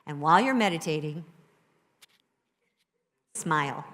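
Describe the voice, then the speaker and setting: Low-voiced woman leading a meditation. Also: lively intonation. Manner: gentle, soothing, repeats affirmations slowly. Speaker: guided meditation leader. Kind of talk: guided meditation in a church service. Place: church sanctuary with live keyboard. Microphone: handheld or lapel mic. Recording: live service with loud music behind.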